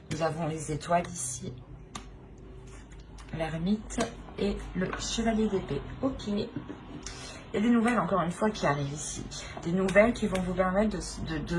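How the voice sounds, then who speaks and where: A woman giving an unscripted tarot reading to viewers, hesitant, repeating phrases and often tagging on a "hein". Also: lowish voice. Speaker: tarot reader hosting her channel. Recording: noticeable background noise.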